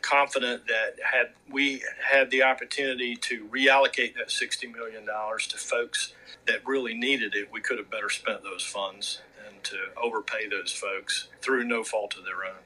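Sharp, forceful male politician. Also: medium pitch, steady tone, moderate pace, poor audio. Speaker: state legislator. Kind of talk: interview soundbite.

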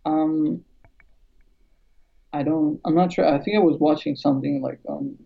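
A man from Albania chats about his music-production work in a gravelly, somewhat higher voice. He talks hesitantly, with many fillers and restarts. The recording sounds dull and lo-fi. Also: steady tone, measured pace. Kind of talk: casual conversation.

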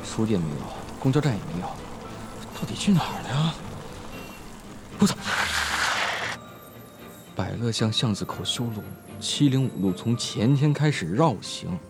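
Brash, animated teenage schoolboy. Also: male voice, mid-range voice, a little slow, long pauses, music in the background. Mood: worried. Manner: blunt, slangy, swearing. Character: brash, impatient but protective teenage schoolboy. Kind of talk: Chinese audio drama acting.

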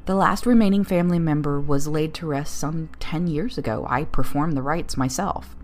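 Woman playing a solemn, low-voiced village vicar. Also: moderate pace, slight background noise, natural voice. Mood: solemn, kindly. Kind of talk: story reading with dialogue.

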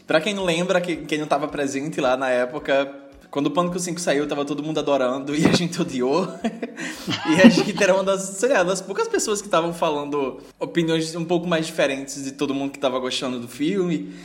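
A man from Brazil co-hosts a film podcast, rambling through stories and laughing often. His voice is slightly high for a man. He talks in loose, casual chat. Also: a little fast. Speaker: podcast co-host.

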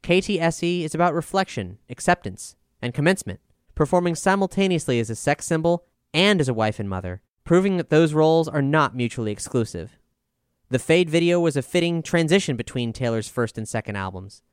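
A man with a smooth voice narrating a scripted music review as a voice-over. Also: on the high side, expressive, fairly quick, no echo, studio quality.

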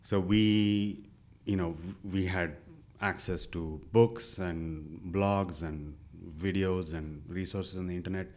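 A man's voice: deep voice, a little mellow. A young man from India, a guest in an interview, telling his story casually with many fillers and self-quotes.

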